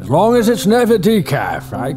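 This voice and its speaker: An actor playing a stubborn, grumbling older retired historian, who sighs a lot and talks slowly. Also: male voice, high voice, huge pitch swings. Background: music.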